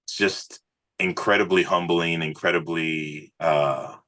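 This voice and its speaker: A man's voice: low-pitched, steady tone, measured pace, pushed and bright. A man speaking as a musician guest in a casual interview, earnest and informal, often dropping in "man" and "you know". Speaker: musician, singer, podcast guest.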